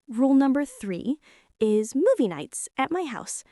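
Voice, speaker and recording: A young woman playing a bossy, smitten college girl in an intimate girlfriend ASMR roleplay, teasing her boyfriend with breathy asides. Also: sits high, very animated, a little slow, warm tone, dry recording, studio quality. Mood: soft, bossy, teasing.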